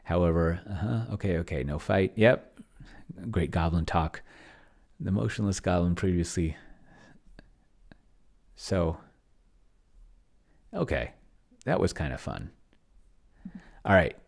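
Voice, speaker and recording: A middle-aged man giving casual think-aloud commentary at his computer in a halting, murmuring way, close to a desk microphone. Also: low-pitched, lively intonation, gravelly, a little mellow. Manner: halting, murmuring to himself while typing and reading. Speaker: host, solo roleplaying player. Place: small room at home, indoors. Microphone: desk microphone close to the mouth.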